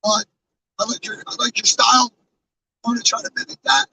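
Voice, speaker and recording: Hoarse man streaming live, off-mic. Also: extremely high pitch, huge pitch swings, a little fast, bright, forceful tone, distant mic, low-quality recording. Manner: earnest, rambling, many fillers and restarts. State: hoarse, losing his voice. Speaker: live streamer with a truck convoy. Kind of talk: casual live-stream talk to chat. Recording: off-mic with faint background noise.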